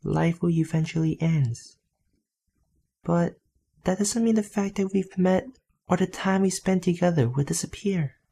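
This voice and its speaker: A man voicing a gentle, selfless young female goat in an anime fan dub, speaking softly and tenderly. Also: sits high, unhurried, gravelly, slightly rough, exaggerated voice. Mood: wistful.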